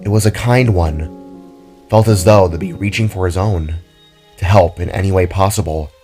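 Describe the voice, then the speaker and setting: A man's voice: low voice, normal speed, warm tone. A man narrating a dramatic scripted story as its storyteller. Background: music.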